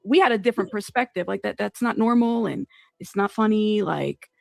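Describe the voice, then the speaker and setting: A woman's voice: mid-range voice, fairly quick, vocal fry, no echo, studio quality, faint music behind. A woman conducting a casual interview, earnest and opinionated in the way she talks.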